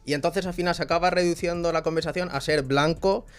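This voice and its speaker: A man's voice: a little high, quick pace, clear, resonant tone. A young man from Spain, speaking as a guest in a casual discussion. He tells stories with animation and acts out what people say. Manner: animated storytelling with laughs and acted-out quotes.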